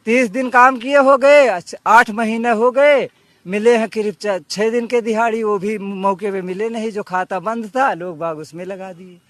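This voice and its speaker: A man's voice: high voice, fairly even intonation, normal speed, a bit bright, no echo. A man being interviewed on the street, complaining in an aggrieved, repetitive way. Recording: street interview with faint background noise.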